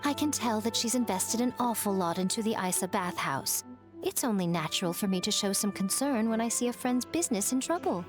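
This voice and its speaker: An actress playing an elegant, self-assured shrine head in a video game, speaking in a measured, articulate, teasing way. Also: female voice, mid-range voice, animated, natural voice. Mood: composed, concerned. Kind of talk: English video game voice acting. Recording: faint music behind the voice.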